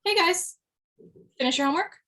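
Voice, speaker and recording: A woman's voice: extremely high pitch, a little fast, sharp tone, slight background noise. A woman playing a wry, blunt mother in a comedy script table read. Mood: parental. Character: wry, blunt widowed mother of two, former standup comic. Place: remote video call.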